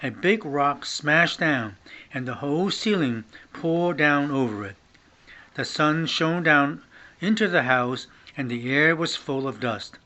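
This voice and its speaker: A man's voice: a little high, pushed and bright. An older man reading a children's novel aloud, stumbling on some words and restarting them. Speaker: grandfather reading along.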